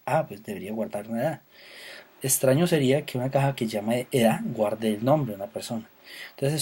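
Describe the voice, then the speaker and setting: Husky-voiced man teaching a programming class. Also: medium pitch, dark tone. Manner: patient explanation with fillers and self-corrections. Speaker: programming instructor. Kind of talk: recorded lecture.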